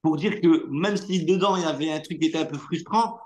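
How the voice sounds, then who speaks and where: A man whose first language is Arabic, an actor telling stories in a relaxed interview, chatty, slangy and full of fillers. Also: a little high, brisk. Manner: animated anecdote-telling with street slang and many fillers. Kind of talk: casual interview storytelling.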